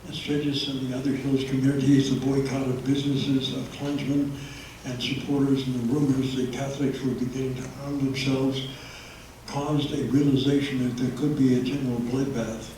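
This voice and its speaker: A man's voice: medium pitch, level tone, moderate pace, dark tone, slight background noise. Guy giving a local history talk, lots of uhs, sounds far off in a big echoey room. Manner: hesitant, many uh fillers. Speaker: history presenter. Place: meeting room with audience. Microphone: handheld microphone, held low at times.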